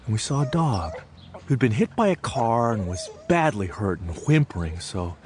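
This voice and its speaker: An American actor playing an earnest, reflective Japanese American man recalling his farm childhood in a dramatic audio theatre monologue. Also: on the low side. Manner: expressive, deliberate storytelling with weighted pauses.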